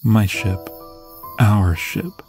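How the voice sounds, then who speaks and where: A man's voice: lowish voice, extremely slow, a little mellow, faint music behind. A man playing an obsessed pirate captor in an ASMR fantasy roleplay, roguish and doting, with coaxing, theatrical endearments. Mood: doting, possessive.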